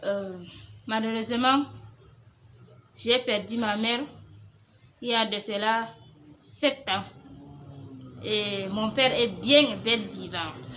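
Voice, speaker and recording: Slow, soft-spoken woman. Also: a little high. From Cameroon. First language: Fulfulde. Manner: soft-spoken, hesitant, frequent pauses. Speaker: home organisation YouTuber. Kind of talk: FAQ vlog, reading and answering questions. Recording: slightly muffled lo-fi audio with faint hiss.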